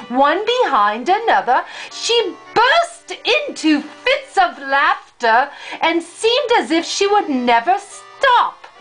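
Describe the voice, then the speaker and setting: An older woman with a high voice reads a children's fairy tale aloud over music. Her delivery is deliberate and unhurried, rising and falling expressively as she tells the story. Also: low-quality recording. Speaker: grandmother reading a storybook aloud.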